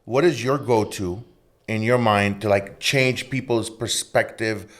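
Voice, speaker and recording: Low guy hosting a casual podcast, rambly and animated. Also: a little slow. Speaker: podcast host. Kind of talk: casual chat.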